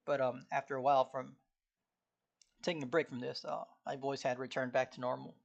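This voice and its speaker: A man casually talking to himself while studying on a live stream, often clearing his throat, which feels irritated. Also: mid-range voice, flat delivery, brisk, dull audio. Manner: frequent throat clearing, laid-back, reciting notes from memory.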